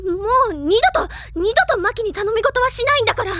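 Very high-pitched, excitable schoolgirl. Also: fast. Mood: flustered, indignant. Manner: expressive, stammers when flustered. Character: excitable, bookish high school girl, easily flustered. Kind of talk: animated Japanese audio drama acting.

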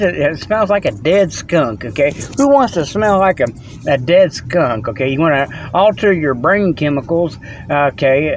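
Just a guy rambling folksy comedy in his truck, kinda high voice, okay this okay that. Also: a little hiss or hum. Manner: folksy, rambling, peppers talk with "okay" and "you know". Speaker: host. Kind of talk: rambling comic monologue.

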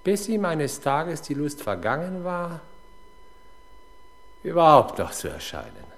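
A middle-aged actor playing a stubborn, embittered police inspector in a 1970s German radio crime play, gruff and sardonic. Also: male voice, fairly high pitch, huge pitch swings, slow pace, a bit bright, exaggerated voice. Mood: bitter, sardonic.

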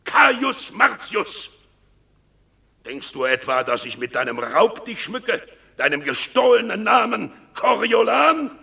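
A gravelly, bitter scheming general. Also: male voice, high-pitched, big pitch swings, slow pace, sharp tone, full character voice. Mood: accusing. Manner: bitter, accusing verse delivery. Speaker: actor in radio play. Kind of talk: classical verse drama, radio play.

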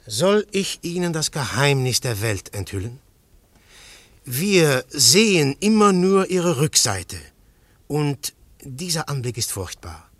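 Ein Schauspieler spielt in einem Hörspiel einen leidenschaftlichen Detektiv, der mal drängend ruft, mal leise grübelt. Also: male voice, fairly high pitch, no echo, heavily acted. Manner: fervent, declamatory, shifting to hushed musing. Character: intense male detective, brooding philosopher. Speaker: detective narrator. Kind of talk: radio play acting.

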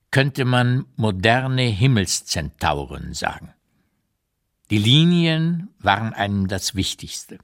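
A man reading as an old writer looking back on wartime London in a measured, deliberate literary radio narration. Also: mid-range voice, fairly bright tone. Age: older. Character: old male writer recalling wartime London, unsparing, reflective.

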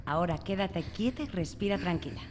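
Woman as reassuring clinic doctor, radio drama, slightly low voice, clear background noise. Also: lively intonation, a little fast, lightly acted. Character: reassuring female clinic doctor with a child patient. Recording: reverberant, clearly noisy background.

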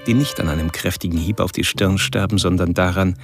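Cold clinical killer confiding in a radio play, super low creaky voice, loud music under it. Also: male voice, steady tone, fairly quick. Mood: cold, calm. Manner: clinical, detached. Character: cold, methodical serial killer confiding his murders. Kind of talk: radio drama inner monologue. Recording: music playing loudly under the voice.

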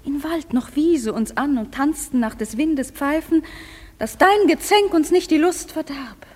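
An actress playing a proud, indignant fairy queen, declaiming verse in a grand, deliberate way for a radio play. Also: sits high, a little mellow. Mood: grave, accusing. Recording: music behind the voice.

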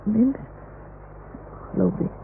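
Little boy in an old radio play, earnest n childlike, real slow, thin hissy sound. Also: medium pitch, gravelly. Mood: anxious, pleading. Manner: childlike, slow, simple phrasing. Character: small earnest boy talking to his toy dog. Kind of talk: 1960s Dutch radio drama acting. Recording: noisy, muffled old radio recording.

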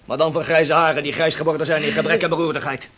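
An actor playing a hot-tempered young sailor in a radio play, scornful and combative. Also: male voice, on the high side, steady tone, fast talker, a bit bright. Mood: defiant, bitter. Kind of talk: theatrical radio play acting. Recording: older radio drama recording.

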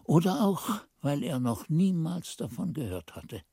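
A man's voice: fairly high pitch, very animated, unhurried, creaky, warm tone, husky, dry recording, studio quality, full character voice. A man playing an earnest monastery narrator in a radio drama, recounting events with careful, storytelling delivery. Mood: earnest, wry.

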